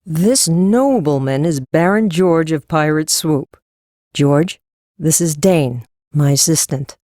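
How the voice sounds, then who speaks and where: Brisk, wry woman trainer. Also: deep voice, mellow, no room sound, studio quality. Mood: wry, familiar. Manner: brisk, matter-of-fact. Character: brisk, wry woman horse trainer, seasoned and dry-humored. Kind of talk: full-cast audiobook character acting.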